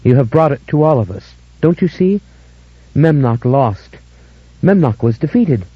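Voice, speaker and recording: A man voicing a fervent, ecstatic young woman who cries out in a high, shrill voice. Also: animated, unhurried, husky, no room sound. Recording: dull lo-fi audiobook recording.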